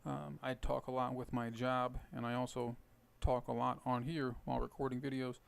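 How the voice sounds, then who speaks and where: A man with a tired, hoarse voice and some gravel in it talks through his poker hands. He explains his strategy casually, thinking aloud with plenty of fillers. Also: medium pitch, flat delivery, normal speed. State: hoarse, rough voice. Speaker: poker video host. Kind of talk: casual poker commentary over gameplay.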